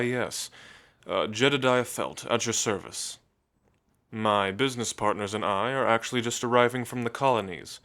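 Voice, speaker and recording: A man's voice: lowish voice, fairly bright tone. A man playing a curt, politely officious colonial scholar in an ASMR roleplay, with formal phrasing.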